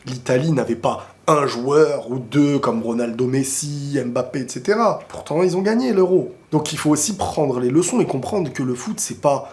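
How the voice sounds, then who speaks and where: A man with a cold and a sore throat, talking casually and excitably about football to his viewers, often rambling into quick asides. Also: medium pitch. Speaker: football video creator answering viewer questions. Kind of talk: casual opinionated football talk to camera.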